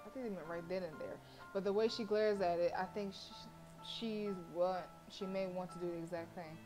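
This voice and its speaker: Casual, expressive woman streamer. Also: fairly low pitch, moderate pace, music in the background, poor audio. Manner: informal, expressive, reacting aloud. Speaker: livestreamer reading a visual novel. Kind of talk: casual game commentary and read-aloud narration.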